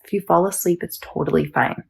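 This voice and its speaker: A woman teaching yoga nidra, guiding a meditation in a soothing, measured, instructional manner. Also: lowish voice, vocal fry, slightly rough. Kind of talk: guided meditation.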